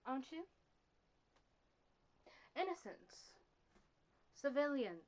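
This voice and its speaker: Casting a woman for a bitter, remorseful killer in an audio drama: very high pitch, slow, deliberate, accusing delivery. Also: fairly bright tone, exaggerated voice. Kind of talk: dramatic character monologue.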